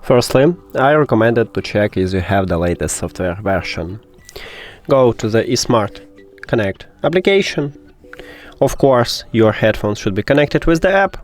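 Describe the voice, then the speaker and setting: Lively man giving a tech tutorial. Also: on the low side, normal speed, soft background music. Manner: instructional, addressing the viewer directly. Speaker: host of a tech troubleshooting tutorial. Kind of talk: spoken step-by-step tutorial.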